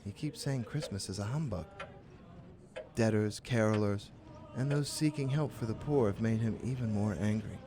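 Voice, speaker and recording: An actor in a radio play playing a meek, kindly office clerk, polite and apologetic. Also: male voice, lowish voice, moderate pace, natural voice. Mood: worried. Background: guitar music.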